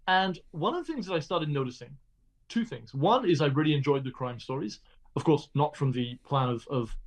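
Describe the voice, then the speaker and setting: Middle-aged guy explaining stuff in an interview, articulate, measured, normal pitch. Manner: articulate and measured, occasional restarts. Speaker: guest, crime journalist.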